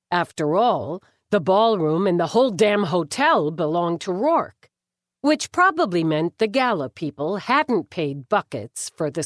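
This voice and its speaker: A woman's voice: fairly low pitch, no echo. A woman reading fiction as an audiobook narrator, with a wry, dry delivery.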